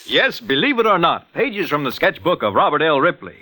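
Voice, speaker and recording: A vintage 1940s radio recording of an American man as announcer and co-host. His voice is bright and very animated, with breezy, theatrical questions. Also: a little high, brisk. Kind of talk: scripted 1940s radio banter.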